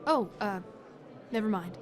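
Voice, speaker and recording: A woman's voice: mid-range voice, expressive, measured pace, crowd noise, close to an everyday voice. An actress playing a feisty, impatient, easily jealous young female soldier in an audio drama. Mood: casual.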